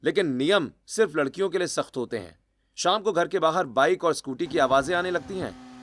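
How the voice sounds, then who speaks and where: A man's voice: fairly high pitch, brisk, a bit bright, slight background noise. A man reading out scripted story narration.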